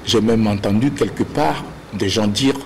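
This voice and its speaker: A man hosting a morning TV talk show in a studio, interviewing a guest with an animated, emphatic delivery. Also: on the low side, measured pace, gravelly, slight background noise. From Burkina Faso. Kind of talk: lively studio chat.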